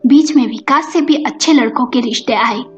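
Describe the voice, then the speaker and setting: ऊँची आवाज़ वाली महिला कहानीकार. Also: female voice, fairly high pitch, moderate pace. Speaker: storyteller. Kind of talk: scripted story narration. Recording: dull lo-fi audio with music. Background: background music.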